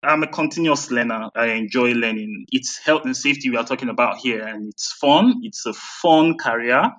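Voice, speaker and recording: A man's voice: medium pitch, lively intonation, normal speed, fairly bright tone. A man with a Nigerian accent answering conversationally as an interview guest. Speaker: interview guest, health and safety coordinator.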